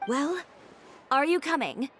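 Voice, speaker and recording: A woman's voice: high-pitched, expressive, takes it slowly, fairly bright tone, slight background noise, heavily acted. An actress voicing a cool, blunt, headstrong woman in a video game, with a curt and self-assured delivery. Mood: brisk, insistent. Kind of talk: scripted video game dialogue.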